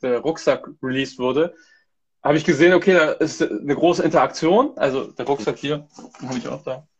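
A man's voice: mid-range voice, moderate pace, muffled recording. A man from Hamburg chatting casually as a guest on a live stream, hesitant, with many restarts and fillers. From Hamburg, Germany. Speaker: content manager, guest. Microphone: video call.

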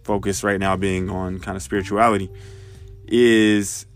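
A man's voice: low voice, level tone, measured pace, faint music behind. A man in his thirties, congested, hosting a podcast and thinking aloud, with many fillers and restarts. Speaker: podcast host. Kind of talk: casual spoken reflection.

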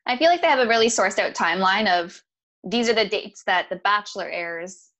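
A woman co-hosting a podcast, explaining things quickly in a casual, upbeat way. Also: mid-range voice, expressive, a bit bright.

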